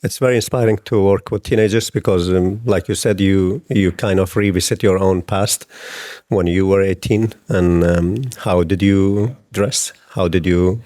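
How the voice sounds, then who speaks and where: Low, dark-voiced hesitant man. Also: fairly even intonation, moderate pace. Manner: hesitant, many fillers and restarts. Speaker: film director. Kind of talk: interview answers.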